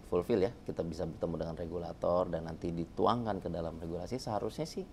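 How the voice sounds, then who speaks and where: A man's voice: sits low, steady tone, fast, warm tone, a little hiss or hum. A man talking as a guest about bank appraisal work, casual and jokey with many fillers. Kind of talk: casual panel talk.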